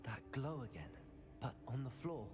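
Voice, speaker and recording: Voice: mid-range voice, big pitch swings, normal speed, in a large hall, over loud music, lightly acted. An actor playing a polite, observant young student wizard, earnest and inquisitive, in a video game. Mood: curious. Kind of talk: video game character acting.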